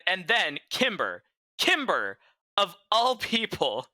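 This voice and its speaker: A man's voice: sits high, wildly swooping pitch, slow, sharp tone, no room sound. A man co-hosting a podcast, recapping a game's story in casual chat with a dry, wry storytelling manner. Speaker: podcast co-host. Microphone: podcast microphone.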